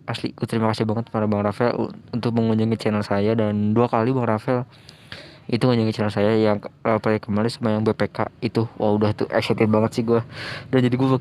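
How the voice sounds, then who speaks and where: An Indonesian man hosting a reaction video with casual, slangy, excitable commentary. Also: lowish voice. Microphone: close microphone at home. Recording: music behind the voice, some room noise.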